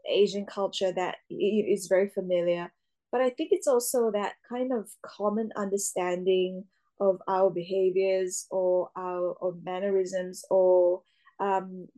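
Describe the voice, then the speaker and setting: A young woman actor answering interview questions, often pausing with fillers and restarting her sentences. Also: mid-range voice, mellow. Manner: hesitant, many fillers and restarts. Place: Australia.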